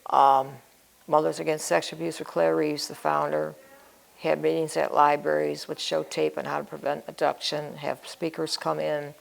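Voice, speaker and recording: An older woman with an American accent tells her own story in an interview. Her voice sits low and is heavily creaky and gravelly. She speaks plainly, in short clipped fragments. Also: flat delivery, normal speed, poor audio. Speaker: interview guest. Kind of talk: personal life-story interview.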